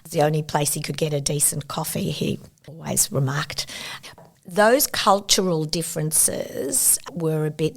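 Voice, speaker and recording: A woman's voice: deep voice, gravelly. A woman, thoughtfully recounting her family's story as an interview guest. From Australia. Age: 70s. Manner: thoughtful, unhurried recollection with warm asides. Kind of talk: reflective personal storytelling.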